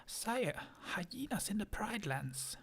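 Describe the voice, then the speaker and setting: Prim, fretful advisor bird, done in a reader's whisper; slow and husky. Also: mid-range voice, sing-song, pitched below the performer's own voice, full character voice. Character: fussy flustered male hornbill advisor bird.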